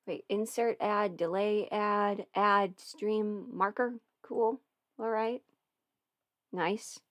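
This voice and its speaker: A woman's voice: medium pitch, steady tone, drawn-out words, a bit bright, no echo, clean studio sound. A woman chatting casually on a livestream from home, rambling and muttering to herself as she fiddles with settings. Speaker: audio performer streaming live. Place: at home, alone in her house. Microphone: studio mic through an audio interface.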